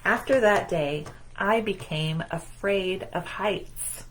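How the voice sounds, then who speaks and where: A woman plays a gentle, wistful storybook egg who tells his own story. The delivery is slow, measured and plain, at a medium female pitch, with faint background noise. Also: natural voice, rough recording.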